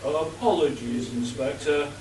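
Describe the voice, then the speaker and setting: A man's voice: on the high side, a little slow, close to an everyday voice. A man playing a deferential, unflappable British butler with formal manners in a comic radio play. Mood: formal, obliging. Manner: formal, obliging. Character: deferential male butler, quietly smarter than employer, unflappable. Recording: roomy echo, distant mic, faint noise.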